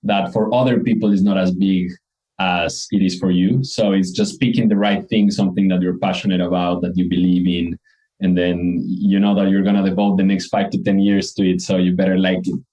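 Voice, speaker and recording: A man's voice: deep voice, flat delivery, brisk, mellow, poor audio. A man from Ecuador with an Ecuadorian accent, a company co-founder answering interview questions in an earnest, reflective way. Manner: earnest, reflective, frequent restarts.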